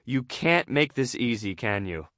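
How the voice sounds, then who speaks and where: A man's voice: mid-range voice, very animated, a little slow, a bit bright, no room sound, clean studio sound. A man voicing a flustered, exasperated female pufferfish schoolteacher in a children's storybook, hesitant and full of dread. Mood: resigned, annoyed.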